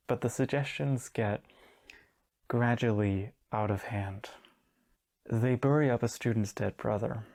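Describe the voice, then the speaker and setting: A man's voice: lowish voice, a little mellow. A man in his twenties, a native Danish speaker, recapping a book for a video essay in a wry, sardonic, casual manner. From Denmark. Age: 20s.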